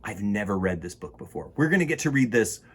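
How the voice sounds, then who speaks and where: A man's voice: lowish voice, very animated, quick pace, fairly bright tone, husky. A man reading a children's picture book aloud with playful, theatrical emphasis and casual asides. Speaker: children's storytime reader.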